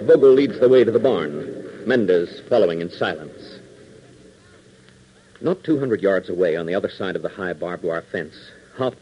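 A man's voice: lowish voice, slight background noise. An American man narrating a 1940s radio serial as its announcer, in a dramatic, punchy scripted style. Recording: dull 1940s radio recording.

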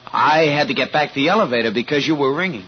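A man's voice: on the high side, lively intonation, fast, loud music behind the voice, lightly acted. A man playing a deferential apartment elevator operator in a 1940s radio drama. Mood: obliging. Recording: very muffled 1940s radio recording.

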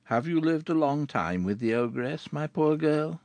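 Role: a grave, kind gentleman in a storybook, gentle and gravelly, voiced by the narrator. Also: on the high side, lively intonation, unhurried, dry recording, pitched above the performer's own voice. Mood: compassionate. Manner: gentle, compassionate.

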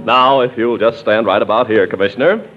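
An actor playing a brisk small-town radio announcer in 1940s style, formal and politely hurrying things along. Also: male voice, mid-range voice, loud music behind the voice, lightly acted. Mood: politely businesslike. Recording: tinny, noisy 1940s radio recording.

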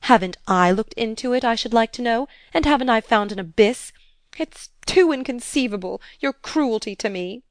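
A woman reading aloud and voicing a wrathful, scornful stepmother from period fiction in a loud, vehement manner. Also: medium pitch, normal speed, no echo, natural voice. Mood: wild outrage.

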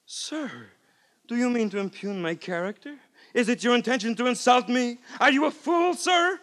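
An actor playing a cocky, scornful gambling rogue in a 1950s radio drama, bombastic and mocking in manner. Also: male voice, very high-pitched, lively intonation, moderate pace, a bit bright. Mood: haughty mockery.

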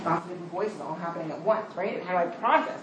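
A woman leads a class discussion, praising students and often checking in with "right?". The recording carries an echoey room and a faint background hum. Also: fairly low pitch. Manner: encouraging, quick, full of praise and "right?". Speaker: teacher leading a study session.

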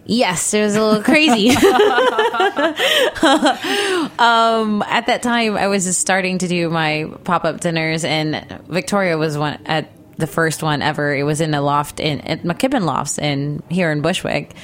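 A woman in her thirties from the Philippines, a chef and interview guest who laughs often and talks in an animated way. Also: lowish voice, measured pace. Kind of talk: casual interview.

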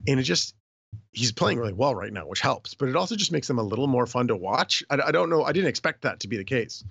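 Man, hoarse, throat-clearing, rambling podcast talk, dry close sound. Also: medium pitch, big pitch swings, fast talker, fairly bright tone, dry recording, lo-fi sound. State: hoarse after illness. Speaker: podcast co-host. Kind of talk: casual sports chat.

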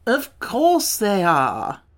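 A woman's voice: mid-range voice, very animated, a little slow, voice pushed up. A woman voicing a self-assured, stubbornly logical male insect from a storybook, declaring things in a lecturing way. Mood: matter-of-fact.

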